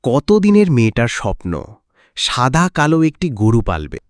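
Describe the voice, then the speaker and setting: A man voicing a poor, gentle farmer and doting father in a cartoon. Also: medium pitch, big pitch swings, moderate pace, dry recording, studio quality, natural voice. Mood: fond. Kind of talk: cartoon dubbing.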